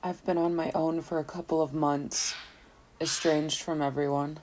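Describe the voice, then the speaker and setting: An actress playing a guilt-ridden, lonely woman, narrating a horror audio play in a somber, confessional, measured way. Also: sits low, little pitch movement, a little mellow, slight background noise, close to an everyday voice, low-quality recording. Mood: mournful, determined.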